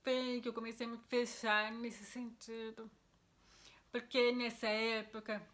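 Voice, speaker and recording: A woman's voice: a little high, sharp tone. Young woman with a deaf accent. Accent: Brazilian Portuguese. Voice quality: deaf speech, heard by others as a foreign-sounding accent. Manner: earnest, explanatory, with frequent restarts. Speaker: vlogger. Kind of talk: casual personal explanation to camera.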